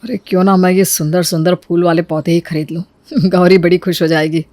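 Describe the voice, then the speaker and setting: A woman's voice: lowish voice, flat delivery, a little fast, darkish tone, no room sound, pitched below the performer's own voice, natural voice. A woman voicing a caring, thoughtful young husband in acted story dialogue. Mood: hopeful, tender.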